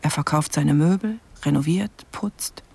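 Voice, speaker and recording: A man playing a quietly observant investigator who narrates his case in terse, pensive fragments in a radio crime drama. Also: a little high, measured pace, creaky, slightly rough, full character voice.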